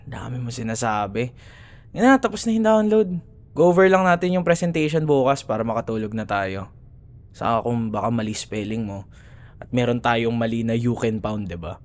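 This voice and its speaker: A man's voice: mid-range voice, lively intonation, normal speed, a little hiss or hum, close to an everyday voice. A man with a Filipino accent playing a grumbling, teasing young school rival who secretly cares, in a sarcastic, casual ASMR boyfriend roleplay. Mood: sarcastic, bickering. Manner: sarcastic, casual bickering with sighs and murmured asides.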